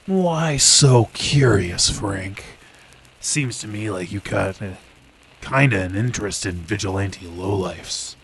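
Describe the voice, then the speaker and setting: An actor playing a hard-drinking police detective in an old time radio drama, gravel-voiced and drawling, with a needling manner. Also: male voice, on the low side, lively intonation, slow, a bit bright, full character voice. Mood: teasing.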